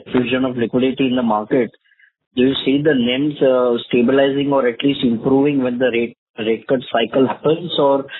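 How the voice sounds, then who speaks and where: Husky guy analyst asking stuff on earnings call, polite n hesitant, tinny phone sound. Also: medium pitch, dry recording. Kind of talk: question and answer on a conference call. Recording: muffled narrow phone line.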